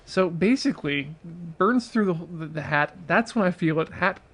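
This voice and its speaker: A man's voice: on the high side, animated, normal speed, a bit bright. A man telling casual, humorous stories to an audience on a livestream. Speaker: storyteller on a charity livestream.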